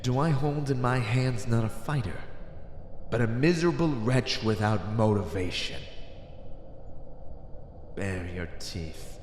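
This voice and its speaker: Villain warlord, male actor for ASMR roleplay: condescending, coldly persuasive, unhurried delivery with big swings in pitch, reverberant hall. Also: animated, a little mellow. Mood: goading. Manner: deliberate, menacing, condescending, theatrical. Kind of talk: ASMR roleplay, dramatic monologue. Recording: echoey hall reverb, faint noise.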